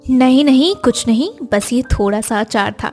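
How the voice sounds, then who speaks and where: A woman voicing a crafty, sweet-talking married neighbour in an animated family story, gushing and familiar. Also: a little high, normal speed, soft background music, natural voice. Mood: sweet, wifely.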